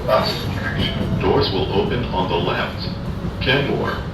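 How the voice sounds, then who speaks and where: A man's voice: low voice, lively intonation, unhurried. A man reading automated station announcements in short, formal, clipped set phrases, as a transit announcer. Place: inside a subway train, over speakers. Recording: echoey, noisy subway car recording.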